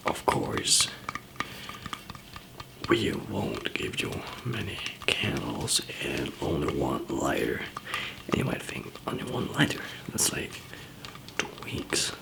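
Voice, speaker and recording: A man performs an ASMR roleplay in a hushed, whispery murmur. His low voice is heavily gravelly and creaky. He explains things gently and haltingly, taking his time between phrases. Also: sing-song. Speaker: ASMR roleplayer playing a survivor camp member handing out supplies.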